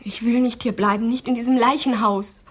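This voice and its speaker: Actress playing bitter young woman, radio crime drama, husky, breathy, terse, resentful. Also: on the high side, steady tone, a little fast, close to an everyday voice. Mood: subdued. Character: bitter young pregnant woman under police questioning.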